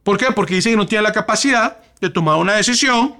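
A man with a Venezuelan Spanish accent preaches from the pulpit. His voice sits a bit high for a man, and he builds emphasis through repeated rhetorical questions. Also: fast talker, bright, forceful tone. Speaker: pastor. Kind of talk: sermon.

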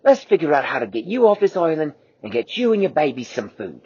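A man puts on a daring cartoon sailor who shouts his lines high and bright. The voice is husky and swoops all over the place. The recording sounds dull and lo-fi. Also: a little fast. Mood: cheerful. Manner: high excited exclamations.

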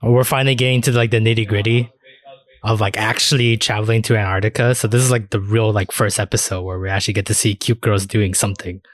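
A man's voice: lowish voice, fairly even intonation, fast, dry recording. A man hosting a casual anime discussion podcast, chatting informally with plenty of filler words and swearing. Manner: informal, profanity-laced, filler-heavy talk. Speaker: podcast host.

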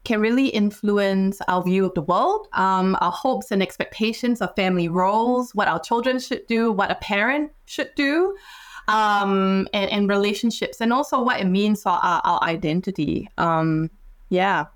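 A woman's voice: mid-range voice, moderate pace. A woman from Singapore, a clinical psychologist, speaking as a podcast guest in a reflective way, with frequent ums and small restarts.

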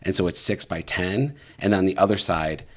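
A man's voice: low voice, moderate pace. A man in his thirties hosting a podcast, telling a long story in a rambling, casual way with many fillers. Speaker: podcast host. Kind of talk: casual conversation.

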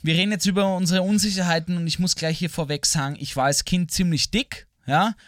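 A man's voice: high voice, fairly even intonation, a little fast, a little hiss or hum. A German man co-hosting a podcast in casual chat, telling stories animatedly and mixing English phrases into his German. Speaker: podcast co-host.

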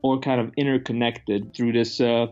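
A man with a medium-pitched voice co-hosts a casual film discussion. He is blunt and conversational. The recording is a bit dull and lo-fi, with faint music behind him. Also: level tone, moderate pace, a little mellow. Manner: blunt, informal, sweary, conversational. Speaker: podcast co-host.

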